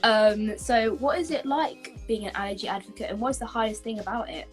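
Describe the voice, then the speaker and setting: A woman's voice: on the high side, fairly quick, faint music behind. A young woman hosting an interview, asking long casual questions with lots of fillers. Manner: rambling questions with many fillers. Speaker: host and interviewer.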